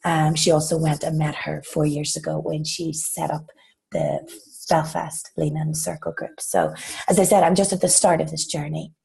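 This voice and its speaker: A woman's voice: low voice, lively intonation, moderate pace, mellow. An Irish woman losing her voice to laryngitis, introducing herself politely on a group video call. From Ireland. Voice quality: hoarse and raspy. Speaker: first-time group call participant.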